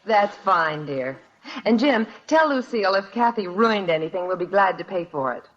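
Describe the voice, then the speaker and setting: A woman's voice: medium pitch, lively intonation, moderate pace, lightly acted. A woman playing a dry, firm, sensible mother in a 1950s radio family comedy. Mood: dry, distracted. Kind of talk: 1950s radio sitcom acting. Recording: dull, hissy old radio recording.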